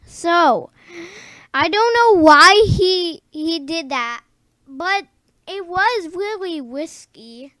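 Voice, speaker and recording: A female commentator giving casual reactions, halting and repeating phrases while thinking aloud. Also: very high voice, animated, sharp tone, no room sound. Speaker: show commentator.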